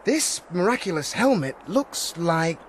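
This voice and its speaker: A naive young villager in a gothic radio play. His voice is very high and leaps about as he speaks with bold, urgent sincerity, over faint ambient noise. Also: sing-song, measured pace. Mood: earnest. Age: young adult. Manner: urgent, earnest. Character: earnest young peasant man, bold and naive. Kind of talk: theatrical gothic audio drama acting.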